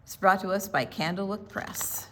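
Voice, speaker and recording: An older woman reading aloud and explaining things to young children in a deliberate, teacherly, expressive way. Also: mid-range voice, a little hiss or hum, low-quality recording. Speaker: children's storytime presenter.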